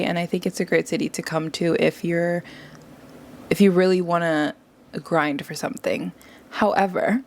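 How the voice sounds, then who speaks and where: Low gravelly young woman, chatty. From New York area. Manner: animated, chatty, quick to agree. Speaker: guest. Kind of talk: casual conversation.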